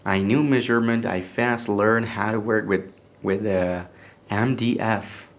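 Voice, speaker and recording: Slow Iranian-accented man, halting. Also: lowish voice, fairly even intonation. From Iran. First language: Persian. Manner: halting, hesitant English with many restarts and fillers. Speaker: podcast speaker. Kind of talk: casual personal story.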